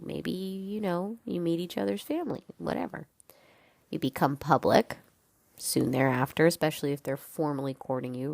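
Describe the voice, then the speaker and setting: A woman's voice: deep voice, vocal fry, darkish tone, no echo. A young woman hosting a solo podcast, talking in a frank, chatty way with lots of fillers and some swearing, and sounding congested. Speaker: podcast host. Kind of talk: casual solo podcast talk.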